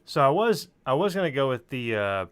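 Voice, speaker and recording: A man hosting a casual interview, halting as he thinks his questions out loud. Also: mid-range voice, big pitch swings, clean tone, dry recording. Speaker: show host, interviewer.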